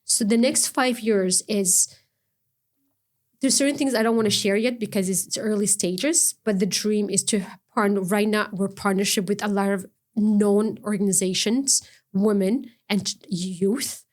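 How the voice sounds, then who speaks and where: A middle-aged woman speaking earnestly in a relaxed interview. Her voice sits at an ordinary pitch, and her phrases often break off and start again. She has an unhurried, conversational way of talking. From Ontario, Canada. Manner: halting, earnest, many restarts. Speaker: guest, non-profit founder.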